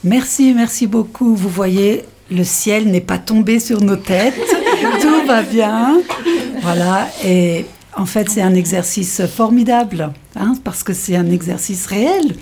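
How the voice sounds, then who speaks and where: A woman leads a French class, thanking each learner and urging the next one on. Her voice is warm and mellow, and she speaks slowly and patiently. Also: mid-range voice, slight background noise. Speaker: French teacher. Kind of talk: leading a language class.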